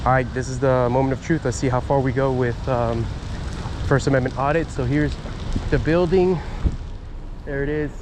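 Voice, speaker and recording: A man from Miami talks into his handheld phone in a rambling, halting way, restarting sentences and filling pauses. His pitch sits in the middle range. Street and lobby noise runs clearly behind him. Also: steady tone. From Miami, USA. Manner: chatty, halting, many fillers and restarts. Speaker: vlogger filming a first amendment audit. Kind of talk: casual self-filmed vlog, talking to camera and officials. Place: outdoors then in a public building lobby. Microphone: handheld phone camera.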